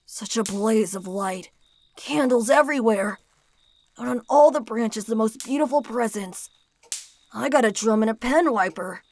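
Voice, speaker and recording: A roguish little boy in an audio drama, high voice, earnest and eager, kind kid. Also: unhurried, a little mellow, dry recording. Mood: excited wonder. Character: eager, kind-hearted roguish young boy, apologetic friend.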